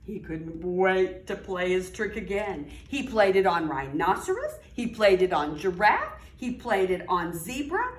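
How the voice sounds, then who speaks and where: A woman reading a children's story aloud in an expressive way, with dramatic pauses and drawn-out key words. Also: on the low side. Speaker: library storyteller reading a picture book to children. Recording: roomy, distant mic with faint noise.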